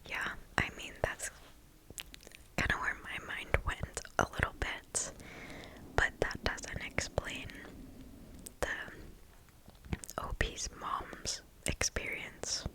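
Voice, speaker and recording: A woman whispering softly and close to the microphone, reading stories aloud in an ASMR style with hushed, deliberate phrasing and pauses. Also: poor audio. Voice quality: soft unvoiced whisper.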